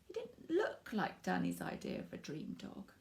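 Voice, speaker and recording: A woman reading a picture book aloud to children in an expressive way, stressing words for drama and speaking directly to young listeners. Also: medium pitch, gravelly. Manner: animated, dramatic emphasis with drawn-out words and hushed asides.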